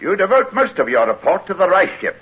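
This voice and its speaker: Husky, stern naval captain. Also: male voice, high-pitched, sing-song, brisk, exaggerated voice. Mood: stern, measured. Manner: curt, formal. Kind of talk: old radio drama acting. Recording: phone-like vintage radio recording.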